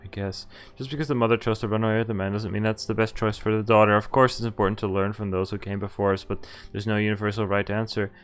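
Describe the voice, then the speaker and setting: A man's voice: lowish voice, flat delivery, quick pace, hardly any pauses, clear, resonant tone, faint music behind. A man streaming a visual novel, reading dialogue and narration aloud and casually acting out the lines. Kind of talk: live read-aloud of game dialogue and narration.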